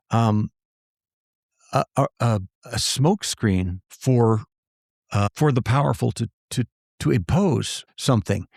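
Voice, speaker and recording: Hesitant thoughtful man, mid pitch. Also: creaky, a little mellow, no echo, studio quality. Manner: thoughtful, hesitant, many fillers and repeats. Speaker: podcast co-host. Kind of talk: casual conversation.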